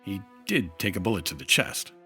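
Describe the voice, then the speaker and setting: A man's voice: deep voice, big pitch swings, brisk, bright, forceful tone, husky, soft background music, heavily acted. A man acting as an earnest hard-boiled insurance investigator, with clipped, dry narration in 1950s radio detective style. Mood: businesslike.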